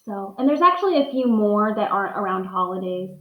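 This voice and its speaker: A woman schoolteacher reading a storybook aloud and chatting to young children in an expressive way. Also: medium pitch, brisk. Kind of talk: storybook read-aloud with casual asides to pupils. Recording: echoey room, slightly dull sound.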